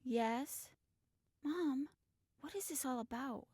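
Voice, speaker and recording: A woman's voice: sits high, slow pace, darkish tone, husky, dry recording, clean studio sound, heavily acted. An actress playing a guarded, defiant teenage girl in an audio drama, with a terse, defensive manner. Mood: wary.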